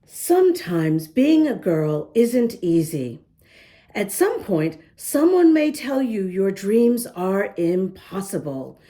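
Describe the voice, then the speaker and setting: A woman reading a picture book aloud to young children, gently pausing to talk to them and show the pages. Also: mid-range voice, sing-song. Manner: gentle, slow, talks to young listeners. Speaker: children's storybook reader. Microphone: camera close up, showing the book.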